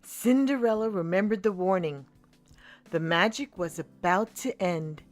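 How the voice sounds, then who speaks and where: A woman reading a storybook aloud for children in an expressive way, with dramatic pauses and emphasis. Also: medium pitch, faint music behind. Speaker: children's storybook reader and channel host.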